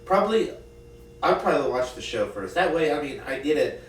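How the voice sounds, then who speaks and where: A man's voice: fairly high pitch, very animated, brisk. A man on a podcast chatting casually in a tentative, loosely phrased way. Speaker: podcast participant. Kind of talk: casual chat. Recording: echoey, distant mic, faint noise.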